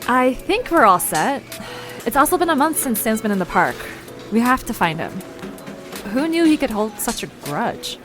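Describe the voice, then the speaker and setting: Young female lead actress: earnest, determined, highish, expressive swings, audio drama. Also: normal speed, music in the background. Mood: casual, organising. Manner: earnest, casual. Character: earnest, determined young woman leading her friends.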